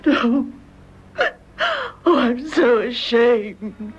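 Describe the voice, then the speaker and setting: A woman's voice: fairly high pitch, very slow. An actress playing an anguished, tearful young woman in love in a radio drama. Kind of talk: melodramatic radio drama acting. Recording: dull, faintly noisy radio recording.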